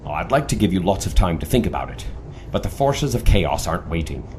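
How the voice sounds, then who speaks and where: An actor playing a calm, authoritative older leader of a secret team in an audio drama, speaking in a measured way. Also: male voice, low-pitched, a little hiss or hum. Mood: grave, persuasive.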